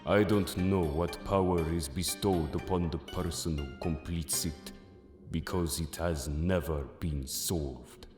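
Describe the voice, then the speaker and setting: A man's voice: low-pitched, fairly even intonation, slow, music in the background. A man playing a solemn, mysterious Egyptian mystic in an audio drama, speaking in a grave, portentous manner. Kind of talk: audio drama acting of a manga. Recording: echoey, long cavernous reverb.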